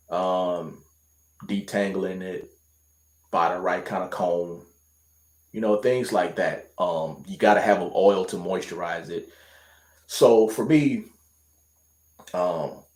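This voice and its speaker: A man's voice: low-pitched, a little mellow, reverberant. A man from Louisiana with a light Louisiana accent, chatting casually on a livestream and answering viewer questions in a rambling, laid-back way full of fillers.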